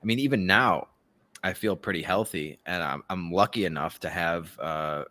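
A man with a fairly low voice hosts a casual talk podcast. He sounds sardonic and opinionated, swearing freely. The recording is very dry, with no room sound. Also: moderate pace, pushed and bright, no room sound. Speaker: podcast host. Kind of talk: casual talk show commentary.

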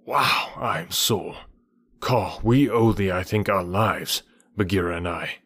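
A man voicing a big, gentle old bear in a storybook, with a plaintive, affectionate manner. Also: deep voice, measured pace, breathy edge, no echo, clean studio sound, heavily acted. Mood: sore, grateful.

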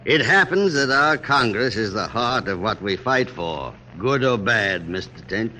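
An actor playing a weary, wry, middle-aged general in a formal, measured classic radio drama style. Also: male voice, lowish voice, expressive, sharp tone, exaggerated voice. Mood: grave, weary. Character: weary, dignified American general of forty-five, dryly wry. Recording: noisy old radio recording.

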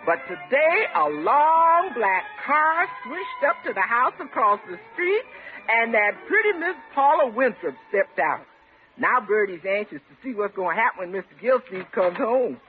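An actress playing a cheerful, teasing, loyal housekeeper with folksy talk in a vintage radio comedy. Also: female voice, a little high, sing-song, normal speed, soft background music. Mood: chatty, anticipating. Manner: folksy, colloquial, exclamatory. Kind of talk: 1940s-50s radio comedy acting. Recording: tinny, noisy vintage radio recording.

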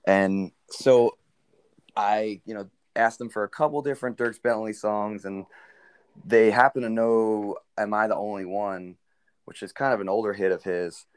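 A young man, a country singer, telling a story in a relaxed interview with many fillers; he has a low baritone voice. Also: normal speed, smooth, dry recording.

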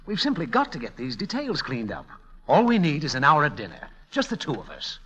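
An actor playing an urbane, insistent and mildly exasperated publisher in an old-time radio comedy. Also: male voice, a little high, wildly swooping pitch, a little fast, bright, forceful tone, breathy edge, exaggerated voice. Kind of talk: 1930s-40s radio comedy acting. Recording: dull, band-limited old radio recording.

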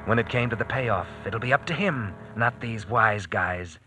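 A man's voice: on the low side, moderate pace, noisy background. A man narrating a hard-boiled old-time radio drama in scripted, storytelling style. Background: dramatic music.

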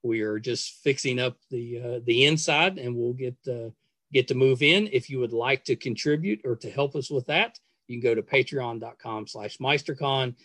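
A man's voice: mid-range voice, normal speed, a bit bright, no room sound. A man hosting a podcast, chatting casually to listeners in an effusive, gushing manner. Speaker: podcast host and interviewer. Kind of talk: casual chat and outro to listeners.

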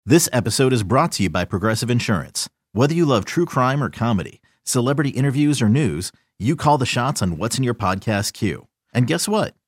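A male announcer reads a scripted ad in an upbeat, salesy way. His smooth delivery sits at a mid pitch, recorded dry and close. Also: expressive, fairly quick, hardly any pauses, no room sound. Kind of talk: scripted ad read.

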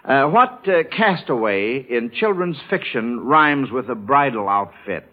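A man's voice: mid-range voice, lively intonation, measured pace. An American man hosting a mid-century radio quiz show in a folksy announcer's delivery, on a vintage radio recording. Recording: vintage radio, narrow telephone-like band.

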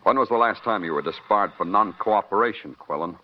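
A man's voice: fairly low pitch, brisk, slight background noise, natural voice. An American man playing a hard-nosed police detective who narrates moodily and questions curtly in a radio crime drama. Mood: stern, pressing. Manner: clipped questioning, moody poetic narration. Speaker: narrator and lead actor. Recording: muffled vintage radio recording, loud music.

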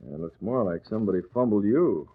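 A quick-talking, wry newspaper publisher in classic radio drama style. He sounds like a brisk boss in charge, heard through a muffled, narrow-band vintage recording. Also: on the low side, animated, husky. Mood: concerned, urgent. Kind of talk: old-time radio acting.

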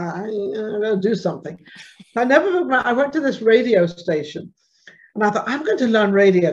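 A woman's voice: mid-range voice, a little mellow. Female interview guest, middle-aged, conversational storyteller, heard through a muffled, lo-fi remote connection. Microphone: remote call. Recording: dull lo-fi remote call.

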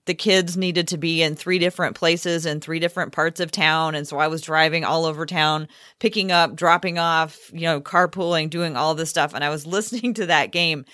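A middle-aged woman hosts a casual sports podcast with a lowish voice. She is chatty and thinks aloud as she talks. The sound is very dry, with no room echo. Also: fairly even intonation, normal speed, hardly any pauses, fairly bright tone.

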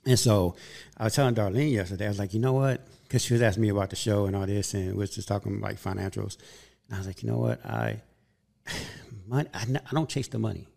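A man's voice: on the low side, lively intonation, fast, vocal fry, darkish tone. A man in his fifties hosting a podcast, telling stories in an earnest, upbeat way during a casual chat. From Southern California. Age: 50s. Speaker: podcast host.

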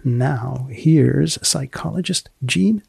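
A man hosting a podcast interview in a deadpan, conversational way, with a gravelly, husky voice. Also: medium pitch, takes it slowly, very noisy. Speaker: podcast host.

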